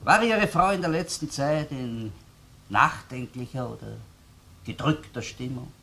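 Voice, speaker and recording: An actor playing a gruff, sardonic Viennese police investigator in a colloquial crime radio play. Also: male voice, medium pitch, unhurried, pushed and bright, slight background noise. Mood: probing, casual. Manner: colloquial, sardonic, keeps tagging sentences with "ne?". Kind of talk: German-language crime radio play acting.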